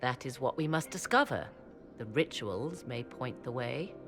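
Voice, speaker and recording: Game role: a dry, aloof witch, very low voice with lively swings, faint music behind. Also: unhurried, full character voice. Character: cool, sardonic female witch scholar.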